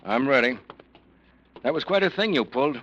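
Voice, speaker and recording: An actor playing a brisk, plain-spoken bodyguard in a 1940s radio drama. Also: male voice, mid-range voice, animated, a bit bright, close to an everyday voice. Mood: matter-of-fact. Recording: dull, faintly noisy old recording.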